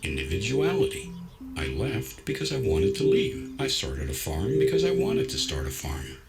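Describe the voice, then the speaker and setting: A man playing a calm, self-assured android who reasons in a measured, nonchalant, gently wry way in a science fiction audio story. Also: lowish voice. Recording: music behind the voice.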